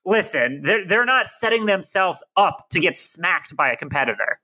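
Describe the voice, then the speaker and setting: Nasal, bright-voiced man. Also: sits high, very animated, moderate pace. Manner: confident, explanatory. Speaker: podcast guest, entertainment writer. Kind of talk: casual podcast chat.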